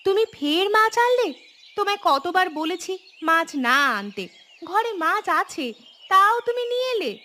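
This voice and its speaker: Woman as a high-voiced nagging village wife. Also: very animated, moderate pace, sharp tone, full character voice. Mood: scolding. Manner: scolding, exclamatory. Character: nagging, thrifty, soft-hearted village wife and mother. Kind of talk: cartoon voice acting.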